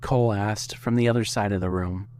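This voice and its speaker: A man's voice: on the low side, steady tone, a little fast, darkish tone, slight background noise. A man reading scripted narration for an audio fiction story.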